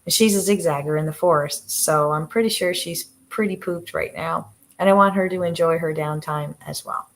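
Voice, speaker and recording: A woman hosting a casual livestream from her living room, talking gently with a soft voice that trails off and plenty of hesitant restarts. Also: fairly low pitch, moderate pace, poor audio. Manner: hesitant, many fillers and restarts. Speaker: livestream host with her dogs. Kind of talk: casual live chat to viewers. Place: living room, on the sofa with dogs.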